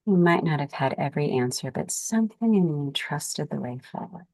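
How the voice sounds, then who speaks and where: Very low-voiced woman guiding a meditation. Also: dry recording. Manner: calm, soothing, unhurried phrasing with pauses. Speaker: meditation guide. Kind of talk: guided meditation, gentle spoken instruction.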